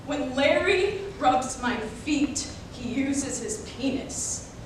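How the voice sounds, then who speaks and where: A young woman performs a dramatic monologue with slow, emphatic phrasing. Her voice is high. She is picked up from far off in a very echoey room. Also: lively intonation. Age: young adult. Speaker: college speech competitor. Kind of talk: dramatic readers theater monologue. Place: indoor performance room, recorded from afar. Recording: very echoey room, distant mic, noisy.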